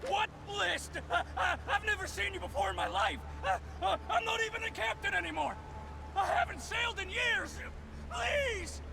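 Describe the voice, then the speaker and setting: A man playing a terrified, cowardly former sea captain in a video game, stammering and begging in a frantic shout. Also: very high voice, sing-song, normal speed, vocal fry, very noisy, heavily acted. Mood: terrified pleading. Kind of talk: video game cutscene acting.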